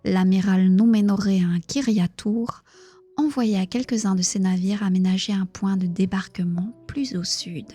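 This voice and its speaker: A woman with a Belgian accent reading a book aloud on a live stream in a hushed, near-whispering voice, stopping to chat with her viewers. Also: mid-range voice, husky. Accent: Belgian French. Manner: reads narration in a hushed, near-whispered voice, chats plainly with viewers.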